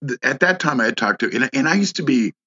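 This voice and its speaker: A man who is a guest on a podcast, telling a personal story in casual conversation, halting with many restarts and fillers. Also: medium pitch, expressive, pushed and bright, breathy edge, dull audio.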